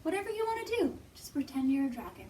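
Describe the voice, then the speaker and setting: A woman hosting a children's storytime, reading aloud and leading action rhymes with expressive, simple phrasing for little listeners. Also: high-pitched, fast talker. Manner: expressive, simple instructions addressed to small children. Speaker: children's librarian and storytime host. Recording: distant microphone.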